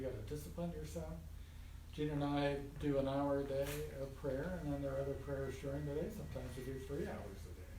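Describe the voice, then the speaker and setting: A man's voice: medium pitch, darkish tone. A man giving an informal spiritual talk to a small group in a folksy, colloquial way, repeating phrases for emphasis. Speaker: spiritual teacher. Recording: roomy, distant mic with faint noise.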